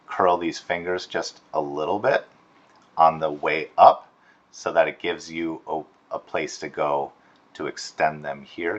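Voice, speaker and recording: A man giving a casual, encouraging critique as an animation instructor, softening his suggestions with tentative phrasing. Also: a bass voice, highs cut off. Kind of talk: casual spoken critique of student work. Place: screen-recorded voice-over.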